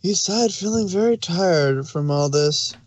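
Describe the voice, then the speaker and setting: A man's voice: sits high, expressive, unhurried, a little mellow. A man narrating a fan fiction story, reading it aloud.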